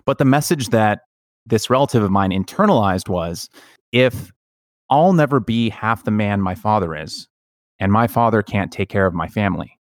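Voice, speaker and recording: A man with a fairly low voice talks as a podcast host in a dry, close recording. He is earnest and reflective, telling personal stories with halting pauses. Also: lively intonation, no room sound. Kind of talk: personal storytelling in conversation.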